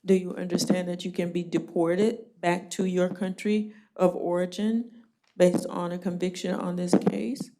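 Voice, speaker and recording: Woman, judge, low voice, brisk, plainspoken, dry humor. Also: level tone, warm tone. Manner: brisk, plainspoken, mildly joking. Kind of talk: court hearing.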